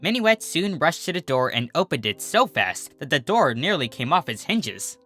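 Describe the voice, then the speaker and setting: A narrator reading a fan fiction story aloud in an expressive storybook style. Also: mid-range voice, normal speed, over music.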